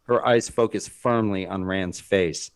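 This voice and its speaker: Male screenplay narrator, low register, very measured dramatic reading. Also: steady tone, clear, resonant tone.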